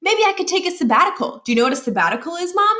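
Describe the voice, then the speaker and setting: A woman's voice: very high voice, very animated, rapid-fire, pushed and bright, voice pushed up, full character voice. A woman voicing a young schoolboy prankster who pleads eagerly and persistently in a children's storybook. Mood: wheedling. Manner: wheedling, persistent. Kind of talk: children's storybook read-aloud.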